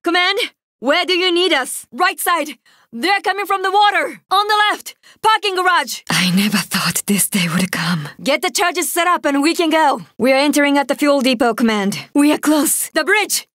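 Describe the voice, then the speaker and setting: A woman's voice: high-pitched, lively intonation, moderate pace, a bit bright, no echo, studio quality. An actress playing an earnest, resolute young female rescue soldier in a video game, speaking in military callouts. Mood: grave, subdued. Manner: earnest, urgent, military radio phrasing. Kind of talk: video game voice acting, barked combat callouts.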